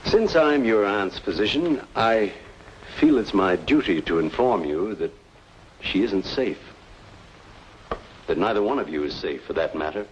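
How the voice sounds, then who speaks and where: Low-voiced, grave formal doctor. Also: male voice, lively intonation. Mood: grave, cautioning. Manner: formal, deliberate, hesitant. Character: grave, hesitant male doctor, formal and insistent. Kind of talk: old-time radio noir drama acting. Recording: dull, noisy old radio recording.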